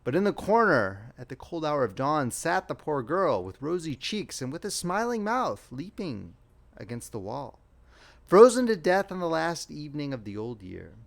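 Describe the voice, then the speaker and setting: Animated male storyteller, lightly raised pitch. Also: moderate pace, vocal fry. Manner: expressive, theatrical storytelling. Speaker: audiobook narrator. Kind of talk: dramatic fairy tale narration.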